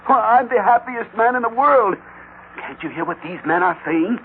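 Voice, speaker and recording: Through a tinny, noisy old radio recording comes an elderly dreamer of an inventor. His voice is very high for a man, and he declaims grandly, with theatrical fervor. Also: expressive, moderate pace. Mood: dazed, elated. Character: fervent, grandiose, obsessed elderly rainmaker inventor, a father. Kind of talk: 1940s-50s radio drama acting.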